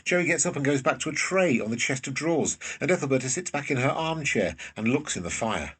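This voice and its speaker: A man's voice: medium pitch, animated, a little fast, a bit bright, breathy edge. A man reading scripted scene narration for a period drama. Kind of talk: scripted narration of stage directions.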